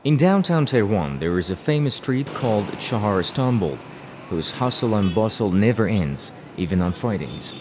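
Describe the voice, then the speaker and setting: A man's voice: on the low side, sing-song, moderate pace, darkish tone, a little hiss or hum. A man reading scripted narration as the reporter of a television travel feature.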